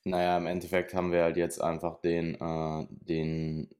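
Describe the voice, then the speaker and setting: Very low, flat-voiced male coach. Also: normal speed. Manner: hesitant, many fillers, explanatory. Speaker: podcast host and prep coach. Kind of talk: casual podcast chat over a video call. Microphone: remote call setup.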